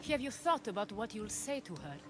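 An actress voicing a calm, shrewd Greek noblewoman in a video game, measured and formal. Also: mid-range voice, natural voice. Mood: measured, advising. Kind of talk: dramatic video game acting.

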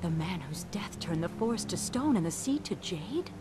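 An actress playing an earnest, deferential student of a master in a video game cutscene, speaking in a hushed way. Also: female voice, lowish voice, sing-song, normal speed, music in the background. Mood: anxious.

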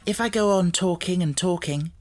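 A man's voice: sits high, normal speed, pitched above the performer's own voice, natural voice. A man voicing an earnest, imaginative, brave little schoolgirl in a storybook, speaking reflectively. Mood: quietly brave.

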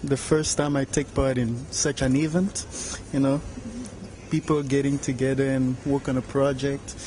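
A man's voice: mid-range voice, little pitch movement, moderate pace, warm tone, slight background noise, rough recording. Young cameroonian-born guy, medium voice, chatty student. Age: young adult. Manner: fluent, many "you know" fillers. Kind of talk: casual interview answers. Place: large bright room at a game jam. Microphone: handheld mic held by interviewer.

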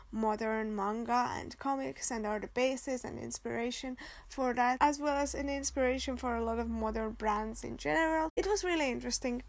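A young Finnish-accented woman narrates her vlog in a high voice with a gravelly edge at the ends of her phrases. She talks in an easygoing, upbeat way and pads her sentences with little fillers as she goes. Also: no room sound. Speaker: vlogger. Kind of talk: casual voice-over vlog narration.

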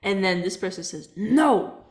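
A woman's voice: mid-range voice, expressive, measured pace, reverberant. A young woman from Macau co-hosting a podcast over a video call, explaining things patiently and giggling now and then. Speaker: podcast co-host. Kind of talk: casual chat reading comments. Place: indoor room, video call split screen.

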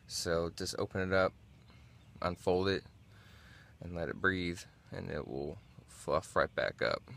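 Casual male vlogger, deep and creaky, low-key and halting, reviewing gear in a tent. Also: fairly bright tone, a little hiss or hum. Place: inside a small backyard tent.

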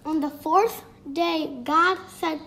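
A woman's voice: very high voice, fairly even intonation, takes it slowly, vocal fry, fairly bright tone. A woman reading a children's creation story aloud, playfully voicing the sound effects.

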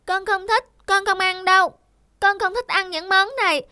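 A woman's voice: extremely high pitch, fairly even intonation, normal speed, bright, forceful tone, no room sound, clean studio sound. A woman voicing a plaintive, childlike little boy in a cartoon. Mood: sulky. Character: spoiled, whiny little boy softening into remorse. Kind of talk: cartoon voice acting.

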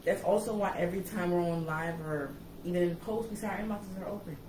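A woman's voice: on the low side, fast, darkish tone. Blunt, joking New York woman. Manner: laid-back, blunt, joking. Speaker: page admin and co-host. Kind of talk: casual chat on a livestream. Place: indoor kitchen. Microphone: camera or laptop mic. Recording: echoey kitchen from a distance, with noise.

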